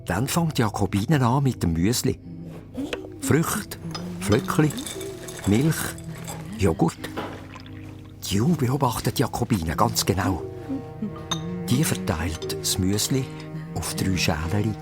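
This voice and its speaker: A man's voice: on the low side, expressive, slow pace, long pauses, over music. A man with a Swiss accent narrating a children's radio play as a suspenseful story.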